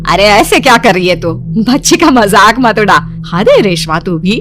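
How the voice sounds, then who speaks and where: A woman playing an expressive, chiding village daughter-in-law in a dramatised moral story, with music under her voice. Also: mid-range voice, moderate pace, lightly acted.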